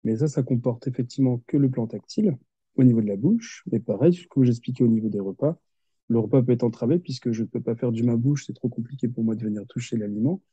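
A man's voice: mid-range voice, quick pace, dark tone, slightly rough, no room sound. A man in his thirties, an occupational therapist, explaining patiently with examples in a video call interview. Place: home office with window. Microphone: headset on video call. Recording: muffled video call audio.

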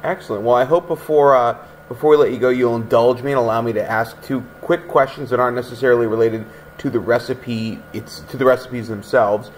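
A man's voice: medium pitch, fairly even intonation, brisk, few pauses, a little hiss or hum, rough recording. A man who owns a bookstore, interviewing an author over a video call with thoughtful, wordy questions. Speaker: bookstore owner hosting the event. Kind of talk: interviewing a guest.

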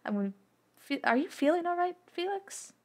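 A woman's voice: very high-pitched, very animated, brisk. A woman improvising casually as a player in a tabletop role-playing game, slipping between description and dialogue.

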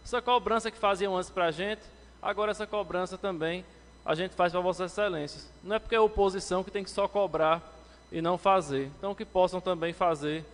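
A man's voice: fairly even intonation, fairly quick. Councilman's formal speech in a reverberant chamber. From Brazil. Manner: formal, addresses the chair, rises to emphatic pitch when criticizing. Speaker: city councillor. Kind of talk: legislative floor speech. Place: council chamber, indoors, medium-sized. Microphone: lectern microphone, standing.

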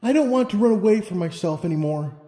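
A man's voice: high voice, lively intonation, fast, warm tone, roomy sound, long reverb tail, faint music behind, voice pushed up. A man voicing an anxious, self-doubting young unicorn mare in a storybook reading, earnest and hesitant. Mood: hesitant resolve. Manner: hesitant, stammering protests. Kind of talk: audiobook character reading.

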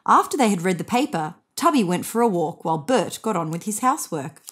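A woman in her thirties narrates a children's picture book aloud. Her delivery is expressive, with very lively rises and falls in pitch. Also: brisk, husky. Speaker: storybook reader. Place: indoors on a bed.

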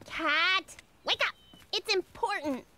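A woman's voice: extremely high pitch, slow pace, bright, forceful tone, full character voice. A woman voicing a flustered, dramatic female duck in a children's cartoon, exclaiming in a melodramatic way. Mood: anxious.

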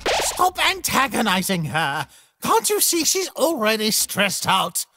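A man's voice: sits high, wildly swooping pitch, measured pace, pushed and bright, no echo, clean studio sound, full character voice. A man voicing an earnest, polite, easily flustered skeleton who exclaims, in a comic dub. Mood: upset, pleading.

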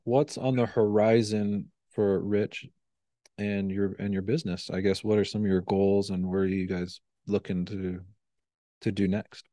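A man's voice: on the low side, flat delivery, unhurried, mellow, dry recording. A man in his thirties hosting a podcast, affirming his guest politely and asking short questions into a studio mic. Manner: brief affirming interjections, polite. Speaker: podcast host. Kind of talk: interview hosting. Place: small studio with neon-lit backdrop.

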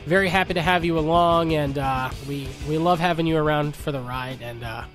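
A man's voice: on the high side, a little fast, a bit bright, soft background music. A man from upstate New York co-hosting a podcast in casual chat, sounding stuffy and congested. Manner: easygoing, chatty with fillers. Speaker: podcast co-host.

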